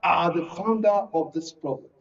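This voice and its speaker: A man with a Nigerian accent speaking as a guest from the creative industry in a political panel discussion, deliberate and emphatic, often repeating phrases. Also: sits high, creaky. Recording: muffled, distant-mic, lo-fi studio pickup.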